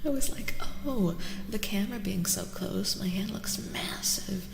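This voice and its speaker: Soft-spoken woman with heavy vocal fry. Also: medium pitch. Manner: unhurried, often dropping into whispers; casual, playful, reacting to chat. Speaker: livestream host. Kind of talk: soft-spoken ASMR livestream chat. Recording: roomy echo, faint background noise.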